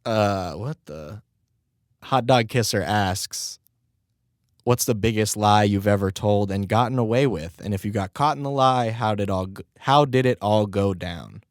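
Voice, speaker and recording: Young man, low-pitched voice, dry close sound, rambling casual podcast chat, swears a lot. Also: unhurried, no room sound. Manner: laid-back, rambling, swears often, laughs at himself. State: voice recovering, slightly strained. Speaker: podcast host and rapper.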